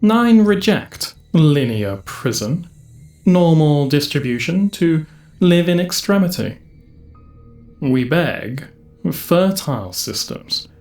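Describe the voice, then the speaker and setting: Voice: mid-range voice, sing-song, slow pace, a little mellow, pitched above the performer's own voice. A performer voicing an ancient cosmic planetary intelligence, cryptic and solemn, speaking in portentous broken phrases for a science-fiction audio drama. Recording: echoing voice effect over music.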